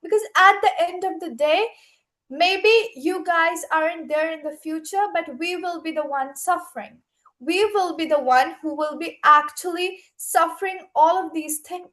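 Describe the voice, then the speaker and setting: A young woman with a very high voice speaks as a youth panelist. Her remarks are impassioned and earnest, delivered with emphasis. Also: normal speed, a bit bright. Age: young adult. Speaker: youth speaker at an online summit.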